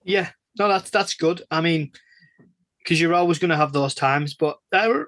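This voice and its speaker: A man with a moderately high voice hosts a casual chat. He feels his way into questions hesitantly and a little apologetically. The recording is dry and free of room sound. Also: moderate pace, a bit bright, dry recording. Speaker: podcast guest host, interviewer.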